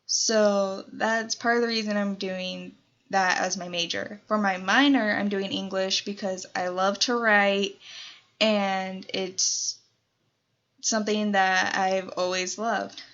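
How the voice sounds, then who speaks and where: A woman's voice: medium pitch, fairly even intonation, slow, a bit bright, muffled recording. A nineteen-year-old woman from Colorado, chatting casually in a Q&A vlog, rambling with lots of fillers. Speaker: YouTuber.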